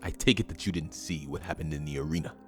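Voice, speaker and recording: A man playing an imperious, impatient, scheming official with a commanding, smooth-talking menace in an audio drama. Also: very deep voice, fast, soft background music. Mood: impatient, irritated. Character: imperious, impatient, scheming male government schemer with metal arm.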